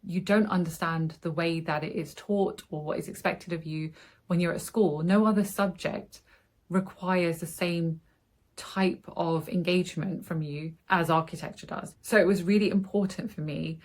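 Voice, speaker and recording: A woman's voice: fairly low pitch, fairly even intonation, normal speed, a little mellow. A woman hosting a podcast, talking in an eager, earnest and encouraging way. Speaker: podcast host. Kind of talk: casual interview and spoken intro.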